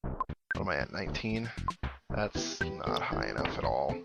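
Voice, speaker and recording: A man's voice: very deep voice, big pitch swings, a little slow, gravelly. A man giving casual, wry let's play commentary on a video game, thinking aloud with dry humour. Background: video game music.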